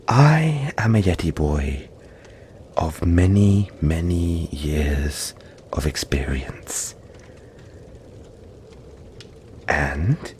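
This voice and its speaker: A man as a cuddly male yeti monster, low voice, swooping intonation, breathy reassurance, intimate audio roleplay. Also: a little mellow, a little hiss or hum, full character voice. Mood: coy, deliberate.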